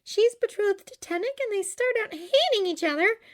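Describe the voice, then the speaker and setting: A woman's voice: very high voice, lively intonation, normal speed, pitched above the performer's own voice. A woman voicing an emotional, melodramatic young woman from a storybook, heartbroken and indignant. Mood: subdued, explaining.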